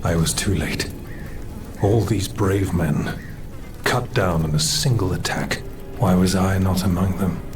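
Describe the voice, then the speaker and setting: A man playing a grief-stricken, honourable French general in a video game, speaking gravely, wearily and formally. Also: deep voice, unhurried. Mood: sorrowful, bitter. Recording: slightly distant, loud music behind.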